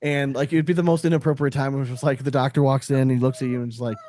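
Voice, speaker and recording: A man's voice: medium pitch, little pitch movement, quick pace, noisy background. A man chatting casually on a podcast in an informal, slangy, joking way.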